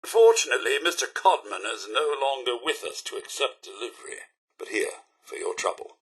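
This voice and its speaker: An actor playing a fussy, proper robot butler in a video game, with a British accent, a raspy voice and a formal, prim, theatrical delivery. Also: male voice, mid-range voice, sharp tone, full character voice. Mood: courteous, measured. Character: fussy, proper male robot butler, polite, easily indignant. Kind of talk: video game character voice lines.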